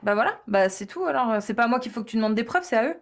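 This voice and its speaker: A woman's voice: fairly high pitch, expressive, racing, background noise. A woman hosting a live online debate, insistent and repetitive, with many fillers.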